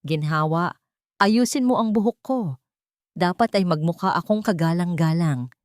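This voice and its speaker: A woman's voice: on the low side, normal speed, no echo, clean studio sound, close to an everyday voice. A woman voicing a haughty, pretentious wealthy mother-in-law in a storybook, affected and commanding. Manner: affected, commanding, self-important.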